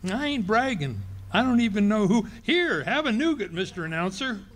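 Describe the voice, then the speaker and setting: An amateur actor playing a bumbling, boastful husband in a live radio play, stammering and folksy. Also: male voice, sits high, big pitch swings, moderate pace, fairly bright tone. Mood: jovial. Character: bumbling boastful husband, middle-aged, fibbing. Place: ballroom stage before an audience.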